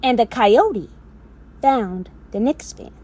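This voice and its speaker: A woman's voice: a little high, expressive, takes it slowly, warm tone, slightly rough, full character voice. A woman acting in an audio drama as an exasperated storyteller, telling a mocking fable in a theatrical way. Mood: dramatic storytelling.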